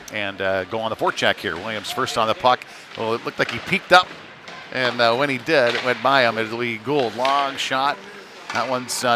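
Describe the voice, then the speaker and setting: Man calling hockey over rink noise. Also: mid-range voice. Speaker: hockey play-by-play commentator. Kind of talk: live sports commentary. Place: indoor ice rink during a game. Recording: noisy rink sound behind the voice. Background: clear venue noise.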